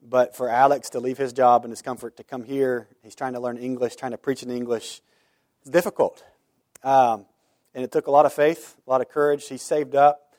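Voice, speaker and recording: A man's voice: mid-range voice, little pitch movement, normal speed, darkish tone, no room sound, clean studio sound. A man pastor giving informal church announcements and prayer requests, earnest and full of "uh" fillers. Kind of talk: church announcements before sermon. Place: church sanctuary.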